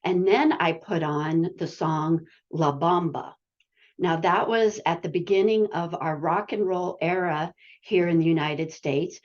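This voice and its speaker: A woman's voice: on the low side, a little slow, dull audio. A woman adult-education teacher casually telling a classroom story on a video call, chatty with lots of fillers. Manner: chatty, many fillers, laughs while telling. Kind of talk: casual anecdote sharing.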